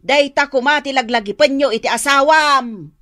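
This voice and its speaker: A woman's voice: high voice, moderate pace, dry recording, studio quality. A woman playing a preachy, exasperated elderly mother in a radio drama, with an Ilocano accent and a reproachful manner. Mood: reproachful lecturing. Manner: expressive, reproachful.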